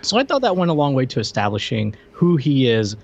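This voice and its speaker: A man's voice: mid-range voice, very animated, brisk, gravelly, slightly rough, highs cut off. A man co-hosting a podcast, chatting casually and teasing his partner as they review a movie. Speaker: podcast co-host.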